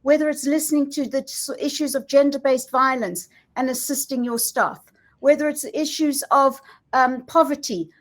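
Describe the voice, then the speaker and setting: Emphatic woman speaking on a business panel. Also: high-pitched. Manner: emphatic, speaks in listed parallel phrases. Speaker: panel guest, member of a company's founding family. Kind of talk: panel discussion answer.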